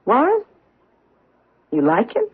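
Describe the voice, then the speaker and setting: An actress playing a wary middle-aged wife in a radio drama, hesitant yet insistent. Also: female voice, on the high side, wildly swooping pitch, slow pace, husky, heavily acted. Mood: uneasy. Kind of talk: 1970s radio drama acting. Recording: tinny old radio broadcast.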